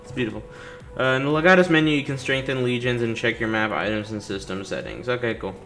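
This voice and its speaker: Young guy streaming a game, gravelly voice, sarcastic and impatient, game music playing behind him. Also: medium pitch, fast, fairly bright tone. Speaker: gaming streamer. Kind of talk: casual game commentary, reading dialogue aloud. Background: video game music.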